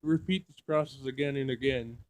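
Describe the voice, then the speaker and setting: A man playing through a visual novel, reading it aloud in an emotional, halting way with dramatic outbursts. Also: mid-range voice, steady tone, darkish tone, rough recording. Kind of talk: let's play narration with loud reactions.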